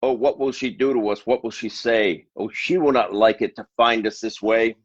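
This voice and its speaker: Man putting on a panicky pet fish, high worried voice, husky edge, dull dry recording. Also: moderate pace, dry recording, natural voice. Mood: fretful. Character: nervous scolding pet fish, male, fretful doomsayer.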